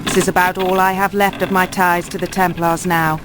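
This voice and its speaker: A woman's voice: lowish voice, little pitch movement, moderate pace, noisy background, lightly acted. An actress playing a defiant, skeptical female captive in a video game cutscene, with a dry, challenging manner. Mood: guarded.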